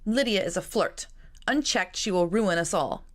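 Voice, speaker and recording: A woman's voice: medium pitch, animated, moderate pace, natural voice. A woman voicing a headstrong, protective young sister in a Regency storybook, speaking in a firm, formal, insistent way. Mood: insistent, critical.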